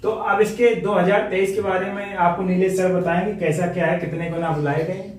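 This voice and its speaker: Man, highish voice, distant and echoey. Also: steady tone, a little fast. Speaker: exam coaching co-presenter. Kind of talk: informal explanatory lesson for viewers. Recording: very echoey room, distant mic, faint noise.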